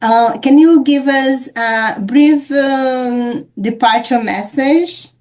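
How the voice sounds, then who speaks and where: Host: woman with a somewhat high voice, slow and easy delivery, encouraging tone, talking over a video call. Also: darkish tone. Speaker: interview host. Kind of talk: casual conversation.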